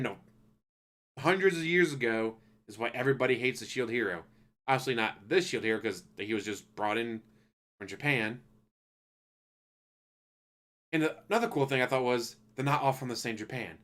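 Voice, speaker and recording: A man giving a loose, profane spoken review of an anime, ranting casually and acting out characters in quick asides. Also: medium pitch, lively intonation, fairly bright tone.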